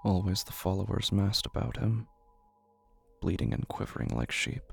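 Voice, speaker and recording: A narrator reading literary horror fiction in a hushed, brooding, deliberate way, with a gravelly, breathy voice. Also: low voice, fairly even intonation. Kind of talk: slow literary horror audio drama narration. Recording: music bed under the voice.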